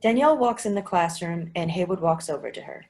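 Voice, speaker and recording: A woman reading the stage directions of a play in a plain, even way. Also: on the low side, brisk.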